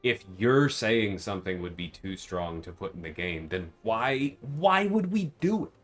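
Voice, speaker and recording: A man's voice: on the low side, big pitch swings, a little slow, soft background music. A man livestreaming a video game, chatting casually and thinking aloud as he reasons with viewers. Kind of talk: casual livestream gameplay chat.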